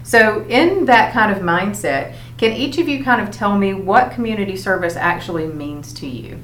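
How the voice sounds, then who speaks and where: A woman's voice: medium pitch, lively intonation, moderate pace, fairly bright tone. A woman teacher hosting a school podcast, moderating a panel of students in an encouraging way. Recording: echoey room, faint hum.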